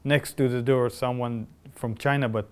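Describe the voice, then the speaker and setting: A man's voice: mid-range voice, steady tone, normal speed, smooth. Israeli-accented man, academic podcast guest. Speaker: biotechnology professor. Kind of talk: conversational podcast discussion.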